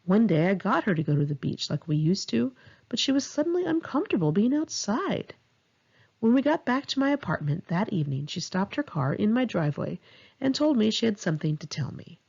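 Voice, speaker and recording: Husky, creaky American woman reading memoir. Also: mid-range voice, sing-song, brisk, a little mellow, dull audio. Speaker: author reading own memoir. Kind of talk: audiobook narration of a first-person memoir.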